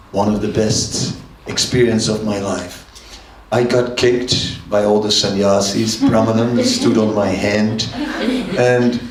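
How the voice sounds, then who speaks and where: An older man gives a relaxed temple class, unhurried and low in pitch, chuckling as he tells his stories. His voice comes from some distance away in an echoing hall. A faint hum of the room sits behind him. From Netherlands. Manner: chuckling, conversational storyteller. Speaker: monk giving a scripture class. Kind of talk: temple lecture with anecdotes.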